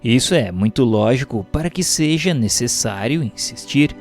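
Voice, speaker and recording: A man with a Brazilian accent giving a formal, measured, didactic scripted reading of a religious text as a narrator. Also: mid-range voice, expressive, darkish tone. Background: background music.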